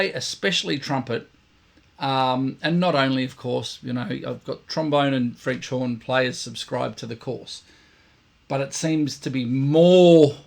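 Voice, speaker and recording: A man, a brass teacher giving blunt, emphatic instruction to camera, his voice croaky and tired today. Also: mid-range voice. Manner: emphatic, blunt, repeats points for stress. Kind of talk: direct instructional talk to camera.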